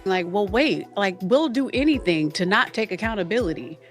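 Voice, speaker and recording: A woman's voice: medium pitch, expressive, normal speed, soft background music. Frank woman co-host on a podcast. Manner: frank, self-aware, lots of "like". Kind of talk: podcast discussion.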